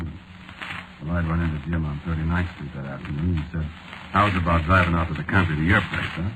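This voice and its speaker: An actor playing an irritable, defensive American man with a terse, gruff manner in a 1940s-style radio ghost story. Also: rumbling low. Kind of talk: 1940s radio acting, first-person narration and dialogue. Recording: noisy vintage radio broadcast recording.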